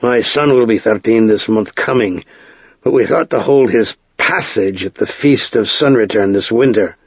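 An undaunted storybook bronze smith, read by a man, talks in a low, husky voice. He is plain and firm, heard on a dull recording. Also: moderate pace, no echo. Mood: firm, respectful. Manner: plain, firm, hard-headed.

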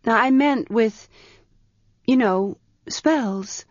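A woman reads as a young runaway priestess, her voice lifted high above the narration and asking soft, breathless questions. She speaks slowly and carefully. The recording is dry and a little dull, like a close-miked audiobook. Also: no echo. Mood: timid, curious. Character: earnest young woman, former priestess, timid yet hopeful.

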